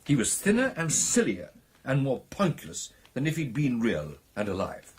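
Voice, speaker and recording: Excitable husky British gentleman storyteller. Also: medium pitch, normal speed, exaggerated voice. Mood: animated, musing. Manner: theatrical, animated storytelling with exclamations and hesitations. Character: excitable gentleman recounting his meeting with a hapless ghost. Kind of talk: radio drama acting.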